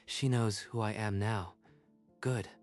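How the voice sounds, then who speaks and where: A man's voice: fairly low pitch, steady tone, takes it slowly, faint music behind. A man voicing a cold, calculating male company owner who speaks in short, impersonal lines. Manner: clipped, impersonal.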